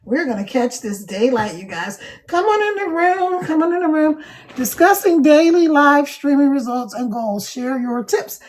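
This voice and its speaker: An older woman with a scratchy, hoarse voice, chatting folksily to viewers of her phone live stream. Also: sits high, animated, normal speed. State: hoarse after two hours of talking. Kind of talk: casual live-stream chat.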